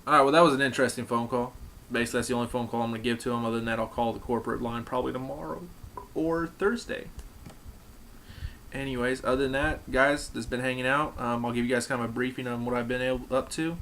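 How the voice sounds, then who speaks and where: A man's voice: mid-range voice, fairly even intonation, quick pace, a bit bright, a little hiss or hum. A man chatting casually and informally as a video maker making a call to customer support. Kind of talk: casual chat.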